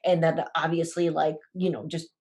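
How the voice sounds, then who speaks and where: A woman's voice: low-pitched, level tone, moderate pace, darkish tone. A young woman from Ecuador telling her personal story on a podcast, hesitant, with many fillers and restarts. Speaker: podcast guest.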